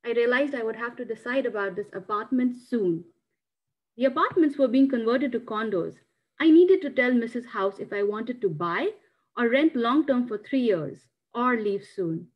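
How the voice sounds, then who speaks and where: A woman with a fairly high voice speaks as an amateur storyteller. The sound is dull and low-fidelity, as heard over a video call. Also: lively intonation, moderate pace. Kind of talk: reading a written first-person story aloud.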